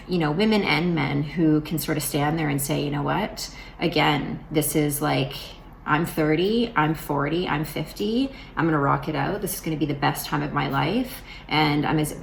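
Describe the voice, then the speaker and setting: A woman in her forties chats to her camera in a loose, rambling way, full of fillers and restarts. Her voice sits low and carries a gravelly rasp. A faint hum of the room runs behind her. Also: poor audio. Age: 40s. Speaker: vlogger. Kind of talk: casual vlog talk to camera.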